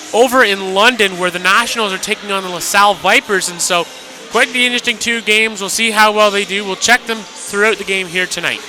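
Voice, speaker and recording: A man's voice: high-pitched, pushed and bright. A man giving live radio analysis as color commentator at an ice hockey game. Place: indoor hockey arena. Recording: noisy live arena radio broadcast.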